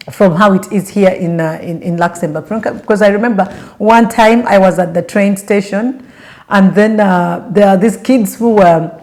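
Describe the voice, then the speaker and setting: A woman's voice: mid-range voice, a little mellow. A young Ugandan woman with a Ugandan accent, vlogging in casual chat to camera and rambling through restarts and fillers while she asks her viewers direct questions.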